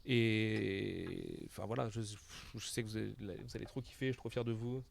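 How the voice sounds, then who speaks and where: A man's voice: lowish voice, steady tone, moderate pace, gravelly, a little hiss or hum. A young French man in casual podcast chat as a bookshop co-founder, talking enthusiastically with lots of slang. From France.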